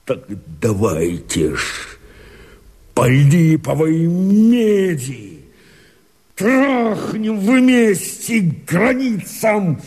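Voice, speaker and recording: A man acting a defiant, husky peasant rebel urging revolt in emphatic verse, in a reverberant radio drama. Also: sits high, sing-song, a little mellow, full character voice. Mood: defiant, urgent. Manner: slow, emphatic declamation. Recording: long reverberant hall echo.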